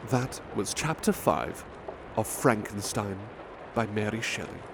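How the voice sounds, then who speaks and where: Male butler-narrator for ASMR reading, soft and unhurried, steady traffic noise behind. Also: lowish voice, vocal fry. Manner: soft, unhurried, calls the listener beloved.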